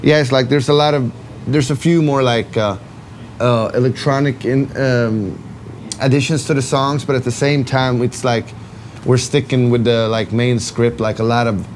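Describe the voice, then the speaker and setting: A man's voice: medium pitch, fairly even intonation, moderate pace, a little hiss or hum. A man with a Swedish accent, a band member giving casual interview answers with many fillers and restarts. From Sweden.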